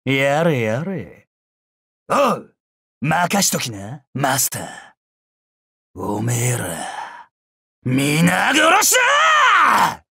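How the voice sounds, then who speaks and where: An actor playing a bloodthirsty, boastful samurai berserker in an anime game, rough and brash, swinging from growls to shrieks. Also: male voice, very high-pitched, huge pitch swings, unhurried, pushed and bright, no room sound, studio quality, heavily acted. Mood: menacing relish. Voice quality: rough, raspy. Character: bloodthirsty, boastful, battle-crazed male samurai berserker, fiercely loyal. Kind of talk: anime game battle voice lines.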